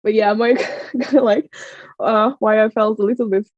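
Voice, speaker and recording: A woman from Finland with a strong Finnish accent, telling a story as a podcast guest, excitable, laughing often and full of fillers and restarts. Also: fairly high pitch, moderate pace, dry recording. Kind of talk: casual storytelling chat. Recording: slightly dull lo-fi sound.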